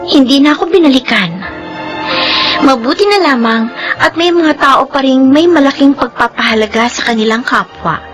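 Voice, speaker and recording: A very earnest young daughter, played by a woman in a Filipino radio drama. Her voice sits high and sounds plaintive and wounded, with music clearly playing underneath. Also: normal speed, lightly acted. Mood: sorrowful. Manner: plaintive, emotional.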